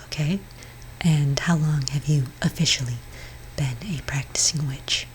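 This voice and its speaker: A woman speaking in a breathy whisper for a soft-spoken ASMR roleplay, playing a gentle, hesitant therapist for witches. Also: low-pitched, slight background noise, rough recording. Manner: gentle, hesitant, halting counsel.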